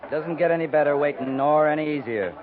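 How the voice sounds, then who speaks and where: A man's voice: a little high, fast talker, a crowd in the background, natural voice. Stoic cavalry captain, played by a man, tinny old radio. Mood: grave, matter-of-fact. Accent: American. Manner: clipped, dry, unsentimental authority. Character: seasoned cavalry captain, stoic, terse, gravely matter-of-fact. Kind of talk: 1950s American radio western acting. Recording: tinny band-limited 1950s radio recording.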